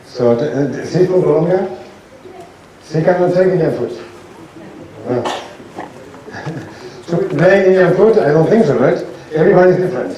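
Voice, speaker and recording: A man gives an informal lecture as a doctor, speaking haltingly and asking his audience questions. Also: on the high side, expressive, muffled recording. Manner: halting, restarts sentences, asks audience rhetorical questions. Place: lecture hall. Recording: echoey hall, from a distance, faint noise.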